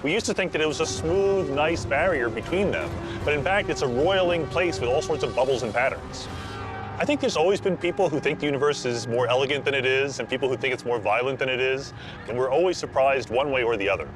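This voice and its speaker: Male expert interviewee, fast conversational delivery, music clearly behind. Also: medium pitch, poor audio.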